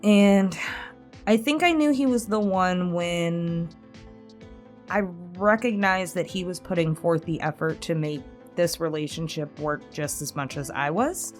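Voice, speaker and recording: A woman's voice: fairly low pitch, unhurried. A woman in her late twenties chatting candidly and casually as she answers subscriber questions, rambling through personal stories. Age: 20s. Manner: candid, rambling storytelling with small chuckles. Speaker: ASMR channel host answering subscriber questions. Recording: music playing behind the voice. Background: instrumental music.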